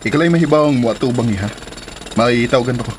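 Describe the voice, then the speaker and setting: A man acting a busy, apologetic young businessman and boyfriend in a Filipino radio drama, with a Bisaya accent and a husky voice. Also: mid-range voice, fast, a little hiss or hum, close to an everyday voice. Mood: defensive, dismissive.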